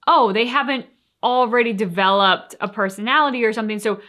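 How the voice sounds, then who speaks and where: A woman's voice: a little high, a little fast, bright, forceful tone. A woman co-hosting a reaction show, giving thoughtful, conversational commentary in a casual chat style. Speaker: co-host of a reaction channel.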